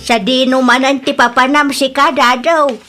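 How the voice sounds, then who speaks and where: A woman playing a worried mother in an audio drama, anxious, pleading and quick to scold. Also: high-pitched, fairly even intonation, over loud music, lightly acted. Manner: anxious, nagging. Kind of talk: Ilocano audio drama dialogue.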